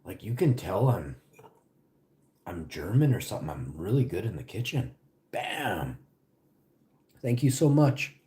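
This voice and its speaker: Male streamer, deep mellow voice, rambling casual chat with viewers. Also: very animated, unhurried, long pauses. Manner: rambling, chatty, answers chat questions between bites. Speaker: live streamer.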